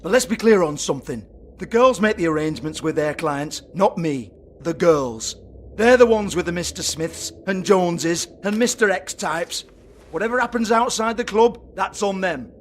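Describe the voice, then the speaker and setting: A man's voice: sits high, expressive, normal speed, slight background noise. A man playing a foul-mouthed, irritable British strip club owner in a video game, sarcastic and exasperated as he swears. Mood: defensive, sarcastic.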